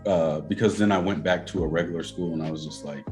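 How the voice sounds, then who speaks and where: A young man with a very low, deep voice talks loosely with friends on a podcast. He rambles, stacks fillers and drops slang. Faint music sits under the talk. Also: fairly even intonation, quick pace, a little mellow. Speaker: podcast co-host. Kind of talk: casual chat.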